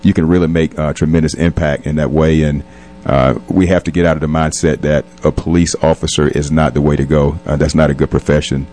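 Male interview guest, very low voice, reflective, measured, hesitant phrasing for a conversational radio segment. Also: level tone, darkish tone. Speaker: police captain and community leader, interview guest.

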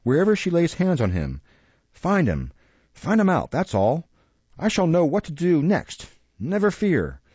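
A man voicing an excitable, scheming old male thief boss with a shrill voice. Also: a little slow, husky, no echo, highs cut off, heavily acted. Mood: impatient. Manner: excitable, fussing.

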